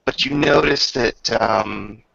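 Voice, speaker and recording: A man acting as game master, improvising narration for a tabletop roleplaying game in a halting, thoughtful manner. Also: mid-range voice, creaky, pushed and bright, no echo, dull audio.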